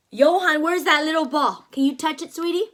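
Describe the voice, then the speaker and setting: A woman reading a picture book aloud to a young child, animated and gently coaxing, with frequent delighted gasps. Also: high-pitched. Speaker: read-aloud storyteller.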